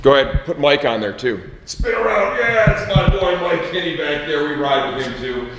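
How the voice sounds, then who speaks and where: A man's voice: fairly high pitch, expressive, normal speed, background noise. A middle-aged man, upbeat and joking, chatting casually with his son in a large garage workshop and asking him leading questions. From Michigan, USA. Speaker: host, team owner and father. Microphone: far camera, no mic seen. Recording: echoey, roomy garage sound.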